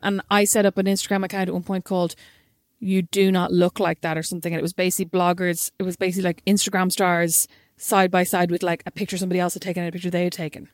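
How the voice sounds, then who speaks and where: A woman co-hosting a casual chat with her sister, telling stories quickly and teasing. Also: lowish voice, little pitch movement, dry recording, clean studio sound. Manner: teasing, rapid storytelling. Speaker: podcast co-host.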